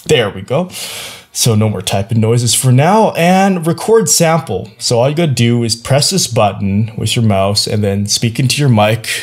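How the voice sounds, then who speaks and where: Young male tutorial presenter, casual and playful, slangy asides, home screencast feel. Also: medium pitch. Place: small indoor room at home.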